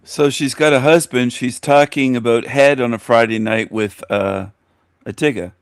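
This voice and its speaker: A man's voice: mid-range voice, normal speed, clear, resonant tone, dry recording, clean studio sound. A man on a casual livestream, teasing the host in a mock rant.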